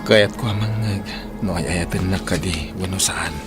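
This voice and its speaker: Man plays protective young suitor, very low voice, earnest radio-drama romance, prominent music bed. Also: moderate pace, lightly acted. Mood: tender, reassuring. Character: devoted, protective young man courting his sweetheart. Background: loud music.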